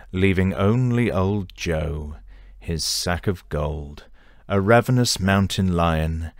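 An actor playing a solemn storyteller, narrating a comic audio pantomime in a measured, dramatic manner. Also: male voice, sits low, dry recording, clean studio sound. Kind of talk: scripted theatrical narration.

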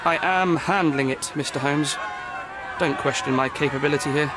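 A man's voice: fairly high pitch, normal speed, lightly acted. Man playing officious city official, crowd noise behind. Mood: defensive, stiff. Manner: formal, precise, defensive. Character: officious, harried city hall official, defensive. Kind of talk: video game cutscene acting.

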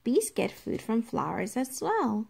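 A woman teacher reading a nonfiction book aloud to young children, explaining it in a deliberate, instructive way and addressing them directly. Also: fairly high pitch, wildly swooping pitch, gravelly, a little mellow, slightly rough.

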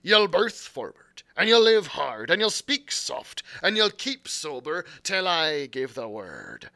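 A man voicing a cunning old male pirate quartermaster in a loud, rolling pirate drawl. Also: a little high, huge pitch swings, slow pace, vocal fry, heavily acted. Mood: commanding.

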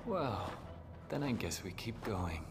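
A cryptic young man, brooding and solemn in a game cutscene. His low, breathy voice is very slow and measured, hushed over clear background music. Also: very animated, exaggerated voice. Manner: measured, theatrical. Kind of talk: video game cutscene acting.

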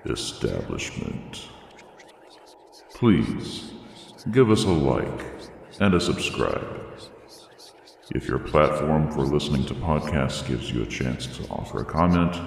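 An actor playing an eerie, courteous keeper of a haunted library in a theatrical podcast outro, with hall reverb. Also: male voice, extremely low pitch, creaky, a little mellow, heavily acted. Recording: echoey hall reverb, faint noise.

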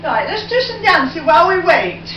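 High-voiced older woman in an echoey kitchen. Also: big pitch swings, normal speed. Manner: chatty, talks herself through each step, hums along. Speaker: home vlogger. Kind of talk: casual home demonstration. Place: home kitchen, indoors. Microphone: far camera on a tripod. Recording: echoey kitchen from far off, dull and noisy.

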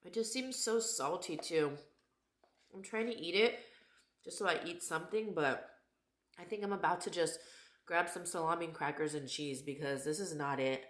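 A woman in her thirties, vlogging casually at home with a handheld camera, chatty and candid, her voice a little raspy from a cold. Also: on the low side, moderate pace. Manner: chatty, candid, laughs often. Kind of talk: casual vlog chat. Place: home kitchen and living room. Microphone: camera held at arm's length. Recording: echoey room.

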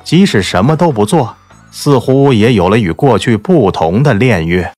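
A man's voice: medium pitch, expressive, moderate pace, darkish tone, no echo, faint music behind. A man reading scripted dramatic narration from a romance novel. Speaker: audiobook narrator.

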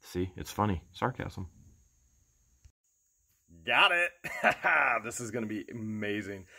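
Middle-aged guy, slightly low voice, eager running commentary, handheld camera in a big indoor warehouse. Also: sing-song, takes it slowly, sharp tone. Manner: enthusiastic, exclaims often, thinks aloud. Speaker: vlogger picking for resale. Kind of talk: excited running commentary while exploring. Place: large cluttered indoor warehouse.

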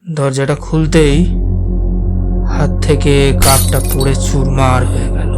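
A man's voice: mid-range voice, lightly acted. A man playing an ominous, insistent believer in ghosts who tells eerie tales dramatically in a horror audio drama. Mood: grave, suspenseful. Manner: slow, suspenseful, dramatic storytelling with rhetorical questions. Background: loud music.

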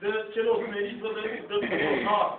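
High-voiced man preaching, very echoey. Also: completely flat delivery, distant mic, crowd noise. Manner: earnest, exhorting, repetitive. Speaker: lay preacher. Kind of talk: fervent extemporaneous sermon. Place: small indoor church hall with congregation. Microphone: distant handheld camera in the audience. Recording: tinny, noisy, echoey phone recording from afar.

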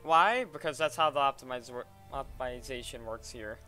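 A man casually talking through a software tutorial step by step in an informal way. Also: mid-range voice, normal speed, soft background music. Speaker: tutorial presenter. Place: voice-over at a computer.

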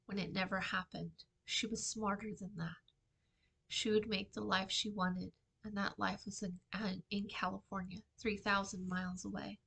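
A middle-aged woman reads fiction aloud as its author. Her voice is bright and crisp-edged at a medium pitch. She delivers the prose in a measured, dramatic storytime style, recorded at a desk in a small room. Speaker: author reading from own novel. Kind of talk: expressive storytime book reading. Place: small indoor room with shelving.

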